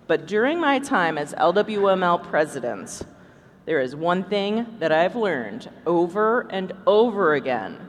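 A woman's voice: fairly low pitch, big pitch swings, a little slow. A woman podcast host speaking on stage in a live show at a convention. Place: large indoor convention hall. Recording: echoey convention hall, background noise.

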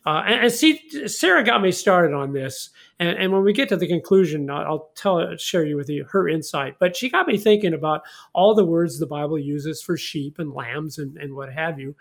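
A man's voice: on the high side, lively intonation, normal speed, gravelly, few pauses. A man leading a conversational Bible study in a folksy, informal way, often putting leading questions to his partner. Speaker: host of a Bible study discussion.

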